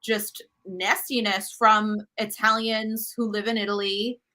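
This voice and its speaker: A woman's voice: mid-range voice, little pitch movement, unhurried, sharp tone. A woman, a guest telling stories animatedly over a video call, full of fillers and restarts. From New York, US. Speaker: guest, cooking show host. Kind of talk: casual video-call interview. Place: home room, indoors.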